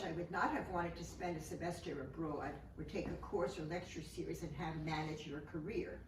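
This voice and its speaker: Older woman, echoey and distant, reading poetry. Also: fairly low pitch. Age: 70s. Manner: conversational, halting, matter-of-fact. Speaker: poet and author. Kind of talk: informal poetry reading with spoken asides. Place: room, remote home-style recording. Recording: echoey, noisy room, distant microphone.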